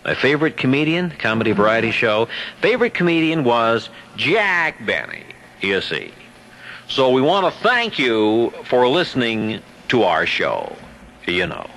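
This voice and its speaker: A man's voice: mid-range voice, huge pitch swings, unhurried, fairly bright tone. An older American man hosting a nostalgic radio show, speaking directly to his listeners. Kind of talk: nostalgic presenter talk to listeners.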